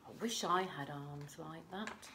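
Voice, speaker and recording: A woman voicing an eager, affectionate young hare from a storybook, speaking slowly and emphatically. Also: low-pitched, heavy background noise. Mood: thoughtful, wistful.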